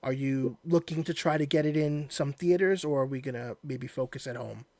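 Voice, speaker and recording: A man's voice: mid-range voice, normal speed, darkish tone, no room sound. Male podcast host, dry close sound. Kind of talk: casual interview chat.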